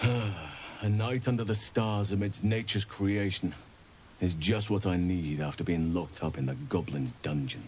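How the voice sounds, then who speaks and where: An actor playing a calm, kindly druid in a video game, speaking in an unhurried, reassuring manner. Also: male voice, sits low, slight background noise. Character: calm, kindly male nature-loving druid. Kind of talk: video game dialogue acting.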